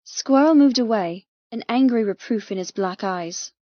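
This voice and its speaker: A woman's voice: fairly high pitch, lively intonation, normal speed, no room sound, highs cut off. A woman reading scripted first-person fiction as a dramatic audiobook narrator.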